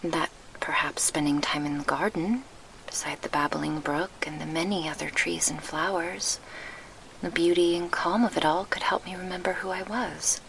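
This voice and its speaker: A woman playing a gentle, wistful succubus guardian in a soft ASMR roleplay, speaking in formal, measured phrases with a breathy murmur, close to the mic. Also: fairly low pitch, fairly even intonation, a little hiss or hum, low-quality recording. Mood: wistful, reflective. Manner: formal, measured, old-fashioned diction. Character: gentle, wistful female succubus guardian, grieving her lost past. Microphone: close binaural microphone.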